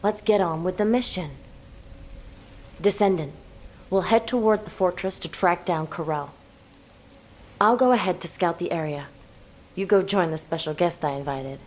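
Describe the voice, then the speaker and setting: A cool, seasoned female squad fighter from a video game, acted by a woman. Her voice sits a little low and sounds brisk and commanding, with some fondness. It is heavily echoed over faint background ambience. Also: a little hiss or hum, natural voice.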